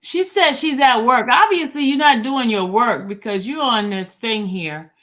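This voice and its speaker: A woman hosting a casual livestream chat, reading viewer comments aloud in an animated way. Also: a little high, a little slow. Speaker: livestream host.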